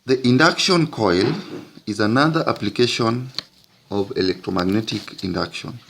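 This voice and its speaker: A man teaching physics, narrating an explanatory lesson in deliberate, halting phrases with frequent breaks. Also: fairly low pitch, creaky, fairly bright tone, a little hiss or hum.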